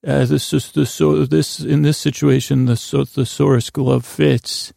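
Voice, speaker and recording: A man hosting a podcast, rambling in a halting, self-deprecating, whimsical monologue that keeps wandering off topic, with a gravelly, creaky voice. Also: medium pitch, flat delivery, dark tone, dry recording, clean studio sound. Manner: meandering, halting, goes off topic mid-sentence. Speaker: podcast host.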